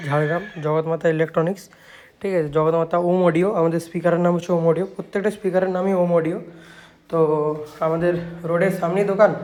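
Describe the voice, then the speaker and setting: Fast-talking West Bengali man, informal product pitch. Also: a little mellow. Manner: repetitive sales talk with frequent check-in tags. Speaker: shop owner presenting own speakers. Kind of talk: informal product showcase vlog.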